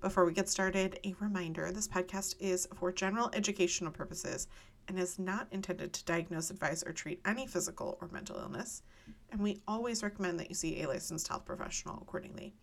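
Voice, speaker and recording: A woman's voice: lowish voice, fairly quick, gravelly. A woman hosting a podcast, delivering a scripted introduction to listeners. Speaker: podcast host.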